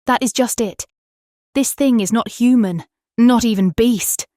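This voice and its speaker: A woman with a British accent playing a tender young Victorian wife, reading her diary in an earnest, expressive, formal way for a dramatised audiobook. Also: on the high side, unhurried, no room sound, clean studio sound, close to an everyday voice.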